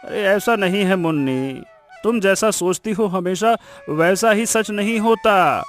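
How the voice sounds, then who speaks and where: A man voicing a weary, exasperated village farmer husband in an animated story, pleading in a melodramatic way. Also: sits high, unhurried, soft background music. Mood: placating.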